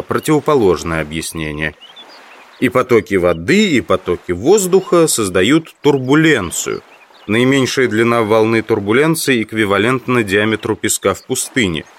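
A man narrating a literary audiobook in the first person, with measured, carefully phrased, expressive delivery. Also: mid-range voice.

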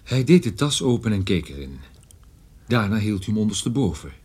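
Wry detective narrator, lively range. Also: male voice, fairly low pitch, a little fast, slightly rough, dry recording. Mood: matter-of-fact. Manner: dry, ironic, literary phrasing. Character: wry male private detective narrating his own story. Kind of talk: scripted first-person radio drama narration.

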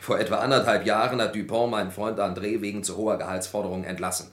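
An actor playing an accused lab worker in a vintage radio crime drama, formal, hesitant and protesting. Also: male voice, lowish voice, fairly even intonation, fast talker, a bit bright, close to an everyday voice. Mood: defensive, explaining. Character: accused male lab worker, defensive and evasive. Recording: roomy old radio play recording.

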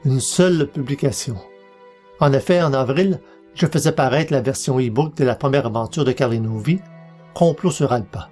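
A man's voice: medium pitch, normal speed, darkish tone, soft background music. A man, hosting a podcast and reading scripted narration. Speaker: podcast host and publisher.

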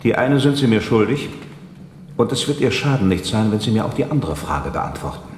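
A man's voice: fairly low pitch, big pitch swings, brisk, darkish tone. An actor playing a probing, commanding nobleman with formal, theatrical speech in a German radio drama. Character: noble gentleman, probing, commanding, intrigued by mystery. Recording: echoey hall, faint background noise.

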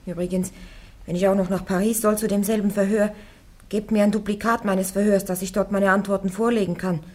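An actress playing a devout young woman warrior on trial, answering her judges firmly and calmly in a radio drama. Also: medium pitch, brisk, natural voice. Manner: firm, calm, defiant answers.

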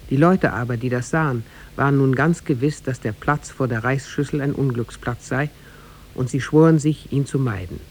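A man narrating a tale in a scripted, measured and formal 1950s radio drama style. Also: medium pitch. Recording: noisy 1950s radio recording.

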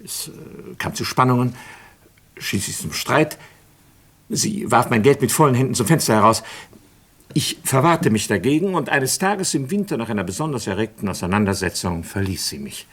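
An actor playing a composed, dignified doctor under suspicion in a radio play, formal and measured, with a hesitant, evasive way of talking. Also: male voice, medium pitch, animated, a bit bright. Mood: resigned, confessing. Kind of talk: German radio play dialogue.

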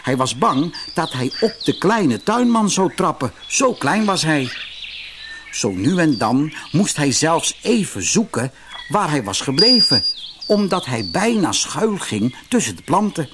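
A man narrating a fairy tale for children as an expressive storyteller. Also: on the high side, unhurried, a little hiss or hum.

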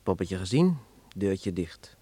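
A young village charmer in a radio play, pushy and carefree. His voice swings widely in pitch as he teases and coaxes at an unhurried pace, with a slightly husky edge. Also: big pitch swings, warm tone, heavily acted. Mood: mocking. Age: young adult. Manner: teasing, coaxing, chuckling. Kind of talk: radio drama acting.

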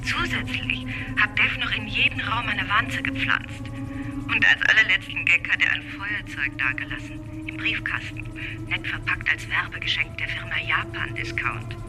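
A woman's voice: medium pitch, normal speed, close to an everyday voice, poor audio. A woman acting a businesslike surveillance controller in a German radio play, giving an informative briefing. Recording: clear music behind voice.

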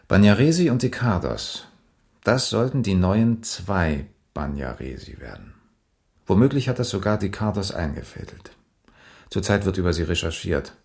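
A very low-pitched male investigator in a radio crime drama. He reports dryly and colloquially, methodical and sober in his delivery. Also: vocal fry, a little mellow, exaggerated voice. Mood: pondering, sober. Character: male police investigator, matter-of-fact and methodical.

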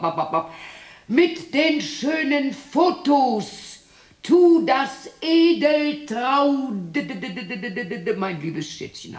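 An actress playing a lonely, embittered widow in her sixties, muttering erratically to herself in a husky, breathy radio play monologue. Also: on the high side, no room sound, full character voice. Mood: distraught. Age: 60s. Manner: muttering, brooding, erratic, halting. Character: lonely, embittered sixty-year-old widow talking to herself.

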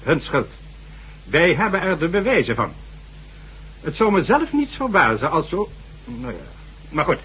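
A man's voice: fairly high pitch, big pitch swings, measured pace, pauses often, fairly bright tone, breathy edge, full character voice, low-quality recording. An actor playing a stern, sardonic government official in a radio drama, formal and condescending as he lectures. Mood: grave, recounting. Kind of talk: Dutch radio drama acting. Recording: faint hum and noise, old radio recording.

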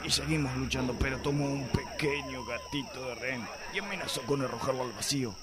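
An actor playing a pompous, lecherous middle-aged police inspector in satirical radio theatre, with an Argentine accent and theatrical delivery. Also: male voice, medium pitch, animated, fairly quick, heavy background noise, natural voice. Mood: self-justifying. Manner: theatrical mock-heroic declaiming.

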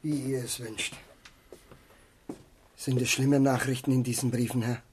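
An actor playing a deferential, chatty manservant in a period radio drama, with a raspy voice and a plain-spoken, obliging manner. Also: male voice, mid-range voice, fairly even intonation, a little slow, a little mellow. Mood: deferential, curious.

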